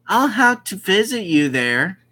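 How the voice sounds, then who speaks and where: A man's voice: high voice, very animated, unhurried, sharp tone, voice pushed up, heavily acted. A young man voicing the player's mother in a visual novel, a caring, curious woman. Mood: questioning.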